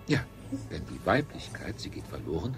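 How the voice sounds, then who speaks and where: An actor playing a smug, pleasure-seeking ruler of a space colony in a radio play, with a pompous, declamatory manner. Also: male voice, deep voice, very animated, moderate pace, creaky, exaggerated voice. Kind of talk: 1970s German radio play acting. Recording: music behind the voice.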